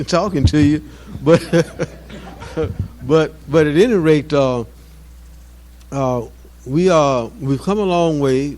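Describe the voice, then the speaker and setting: A man in his seventies giving an informal off-the-cuff address to his community, halting and often repeating words as he searches for phrases. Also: medium pitch, darkish tone. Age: 70s. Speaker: mosque community elder speaking at an anniversary event.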